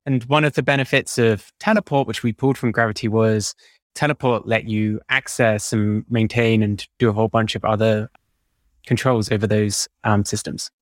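A man's voice: fairly low pitch, steady tone, normal speed, no echo, studio quality. A man with a British accent, explaining technical work informally as a podcast guest. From United Kingdom. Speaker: podcast guest, DevOps engineer.

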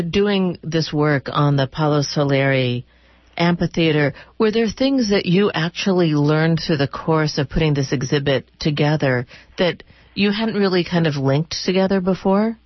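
Low-voiced woman interviewer, dry sound. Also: unhurried, no echo, dull audio. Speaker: radio interviewer. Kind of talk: unscripted interview questions.

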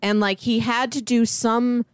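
A woman's voice: on the high side, flat delivery, a little slow, smooth, dry recording, studio quality. A woman co-hosting a podcast, talking casually about a movie. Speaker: podcast co-host.

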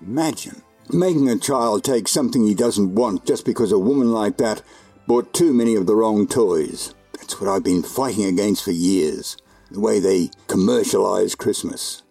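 An actor playing a kindly, principled old Santa Claus in a radio drama, with a gravelly, husky voice. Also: male voice, lowish voice, normal speed, dark tone, soft background music. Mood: indignant, resolute. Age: older.

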